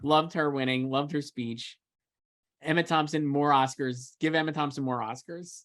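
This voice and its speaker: A man's voice: a little high, steady tone, normal speed, fairly bright tone. A man co-hosting a casual entertainment discussion, giving emphatic, opinionated takes in an enthusiastic manner. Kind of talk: casual film awards chat.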